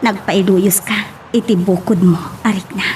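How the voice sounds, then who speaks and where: A woman's voice: mid-range voice, fairly even intonation, moderate pace, darkish tone, lightly acted. An actress playing an earnest, emotional young woman caught up in romance in an Ilocano radio love drama. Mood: remorseful. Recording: music behind the voice.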